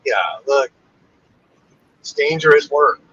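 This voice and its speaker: A man's voice: on the high side, flat delivery, slow pace, a bit bright. A man hosting a casual live stream, joking in a dry way with his co-host. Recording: dull lo-fi sound.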